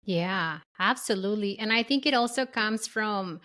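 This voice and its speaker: A woman's voice: mid-range voice, moderate pace, a bit bright. A woman around forty hosting a podcast interview, enthusiastic and encouraging. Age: 40s. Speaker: podcast host.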